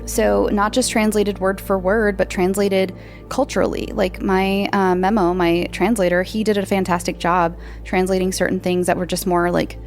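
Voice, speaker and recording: A woman's voice: medium pitch, flat delivery, normal speed, soft background music. A woman hosting a podcast, answering listener questions in a casual, rambling chat full of fillers and restarts. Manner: chatty, meandering, many fillers and restarts.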